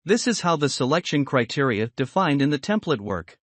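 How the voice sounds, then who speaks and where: A man giving scripted, instructional software tutorial narration in a measured, explanatory manner. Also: fairly high pitch, sing-song, dry recording.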